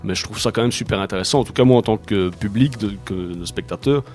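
Male rock musician, interview chat, hesitant and laughing easily, slightly low voice. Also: fast talker, darkish tone, faint music behind. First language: French. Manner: hesitant, many fillers and restarts, laughs easily. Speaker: musician, band songwriter. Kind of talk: casual interview.

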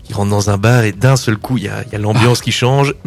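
A man from a band, telling an anecdote in a casual interview, relaxed and talkative. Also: on the low side, fairly quick. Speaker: band member, musician.

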